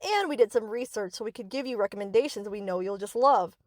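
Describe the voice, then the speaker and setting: A woman's voice: medium pitch, quick pace, a little mellow, no echo, studio quality, lightly acted. A woman playing a sweet, reassuring but possessive young yandere best friend in an anime-style audio roleplay, coaxing and doting.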